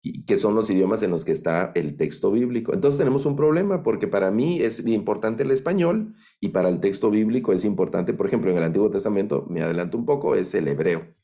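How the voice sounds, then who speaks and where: A middle-aged man with a Guatemalan accent giving an online lecture on biblical languages, thoughtful and halting, with many fillers and restarts. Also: lowish voice, lively intonation, warm tone. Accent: Guatemalan Spanish. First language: Spanish. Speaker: Bible language instructor.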